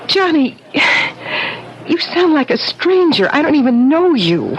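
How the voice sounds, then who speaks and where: A woman's voice: sits high, sing-song, unhurried. A woman playing a frightened, cautious young woman, anxious and pleading, in 1970s radio drama style. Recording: dull, hissy old radio broadcast.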